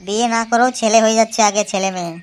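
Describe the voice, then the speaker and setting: A man's voice: high voice, steady tone, racing, over loud music, close to an everyday voice. A man voicing an eager, naive young village census worker in a comedy cartoon, chatty and repetitive, with a rural West Bengal Bengali accent. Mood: chatty, questioning. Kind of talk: amateur comedy cartoon dialogue. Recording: loud background noise, clicky home dub.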